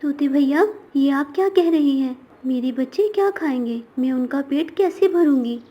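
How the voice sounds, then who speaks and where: A woman voicing a poor, tender, self-sacrificing mother bird in a cartoon, with a gentle motherly manner. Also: high-pitched, normal speed, muffled recording, close to an everyday voice. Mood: worried.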